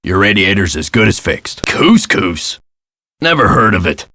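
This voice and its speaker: A man playing a gruff, world-weary middle-aged American caretaker in a video game, guarded and folksy in a clipped way. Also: low voice, big pitch swings, measured pace, pushed and bright, dry recording, clean studio sound, full character voice. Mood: helpful, gruff. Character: gruff world-weary male castle caretaker, guarded about his past.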